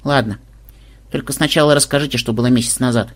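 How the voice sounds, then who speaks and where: A narrator voicing an excitable, curious young female gamer who pleads eagerly and keeps the peace. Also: mid-range voice, rapid-fire, close to an everyday voice.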